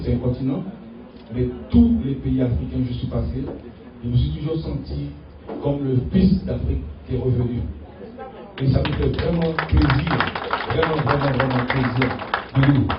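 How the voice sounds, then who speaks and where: Grateful man giving a speech in an echoey hall. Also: mid-range voice. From French Guiana. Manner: heartfelt, grateful, repeats words for emphasis. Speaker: promoter receiving an award. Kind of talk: acceptance speech. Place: event hall. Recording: distant, echoey, dull, noisy crowd hall.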